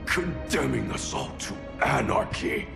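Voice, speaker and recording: A man's voice: medium pitch, sing-song, measured pace, creaky, over music, exaggerated voice. An actor playing a grave, wary man in a fighting video game. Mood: grim. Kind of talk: video game cutscene acting.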